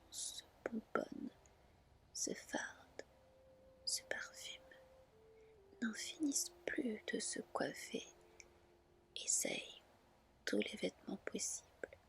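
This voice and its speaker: Slow whispering woman storyteller. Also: on the high side, creaky. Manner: gentle, hushed, deliberate phrasing. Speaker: ASMR storyteller. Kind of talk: whispered ASMR fairy-tale reading.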